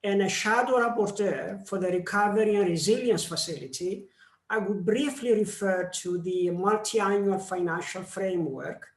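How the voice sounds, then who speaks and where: A man with a Greek accent, a member of parliament, giving a formal seminar speech in a slow, measured way with pauses. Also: high voice, roomy sound.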